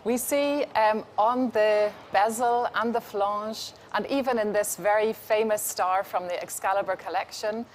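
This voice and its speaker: Slow-speaking woman presenting a watch. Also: medium pitch, steady tone, slight background noise. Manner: slow, deliberate, with fillers. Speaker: brand presenter. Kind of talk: product presentation.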